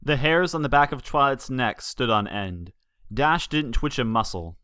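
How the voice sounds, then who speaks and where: A man's voice: medium pitch, animated, measured pace, sharp tone, clear, resonant tone, no room sound, clean studio sound. A man reading a story aloud as scripted narration with dramatic delivery. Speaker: fan fiction reader.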